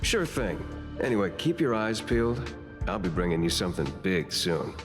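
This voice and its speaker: A man's voice: low-pitched, sing-song, moderate pace. A man voicing a brusque, self-assured schemer making shady deals in a video game. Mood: brusque, scheming. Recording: long reverb, music behind.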